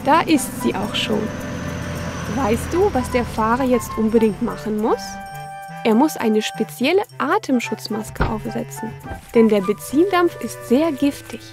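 A woman's voice: on the high side, lively intonation, unhurried. A woman reading scripted narration for a young children's educational cartoon, instructive, posing questions and answering them. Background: background music.

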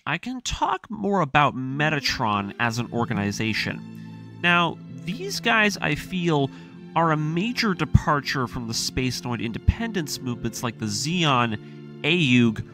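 A man with a slightly high voice narrates over clear background music. His delivery is lively and varied in pitch, earnest and emphatic, like scripted commentary on a story. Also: measured pace. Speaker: narrator of an anime story breakdown series.

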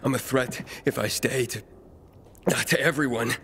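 A man acting as a haunted young male survivor in a dramatic video game. His mid-range voice is grave and halting, weighed down with guilt, over a faintly noisy ambience. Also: medium pitch, a bit bright, lightly acted. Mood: guilt-ridden.

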